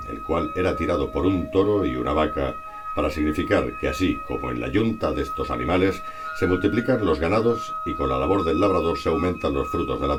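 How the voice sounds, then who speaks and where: Very deep-voiced man narrating history. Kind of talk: scripted historical narration, read aloud. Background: music.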